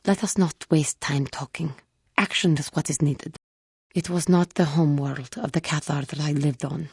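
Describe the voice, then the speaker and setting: An actress voicing a solemn, wounded young feline alien Jedi woman in a video game, speaking in a measured, formal, guarded way. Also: sits low, steady tone, darkish tone, breathy edge, dry recording, clean studio sound, exaggerated voice. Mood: curt, wounded pride. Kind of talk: video game character dialogue.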